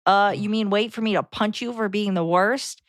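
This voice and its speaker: A woman with a mid-pitched voice speaks casually as a podcast co-host. Her delivery is blunt and wry. The recording is dry and close, with very little room. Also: moderate pace, no echo. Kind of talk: casual podcast chat and reading out messages.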